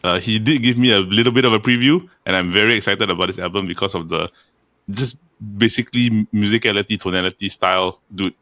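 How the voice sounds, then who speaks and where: A man's voice: on the low side, quick pace, bright, forceful tone. A man hosting a casual podcast, talking enthusiastically and rambling with frequent restarts. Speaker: podcast host.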